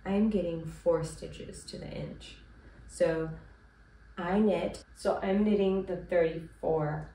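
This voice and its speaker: A woman's voice: fairly low pitch, slow, slight background noise. A woman in her thirties who is sick and congested, chatting casually and rambling with many ums as she hosts her knitting podcast from a small room at home. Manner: relaxed and rambling, with frequent ums and likes. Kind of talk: casual chat to camera. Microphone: camera at a distance. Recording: roomy, distant camera sound.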